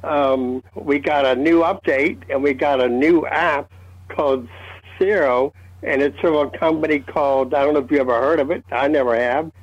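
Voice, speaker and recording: A man's voice: a little high, fairly even intonation, moderate pace, no room sound. Man on a muffled, dry call. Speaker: club call-in participant. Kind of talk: casual update to a club call. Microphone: remote call connection. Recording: muffled, dull call audio.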